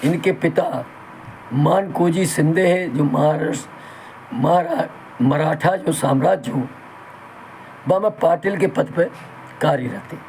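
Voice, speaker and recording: A man with a sore throat, hosting his own channel and talking in a slow, halting, folksy and reverent way. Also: on the high side, a little mellow, a little hiss or hum, low-quality recording. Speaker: channel host. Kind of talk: talk in Hindi with Bundeli dialect.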